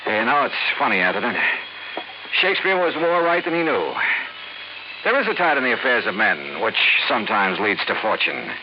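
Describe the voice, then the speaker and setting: A man's voice: lowish voice, very animated, moderate pace, background noise. An American man acting a tough, shrewd investigator in a clipped, dry, hard-boiled manner for a 1950s radio detective drama. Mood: wry. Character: tough, shrewd male freelance insurance investigator. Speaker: lead actor and narrator. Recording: muffled old radio recording with music.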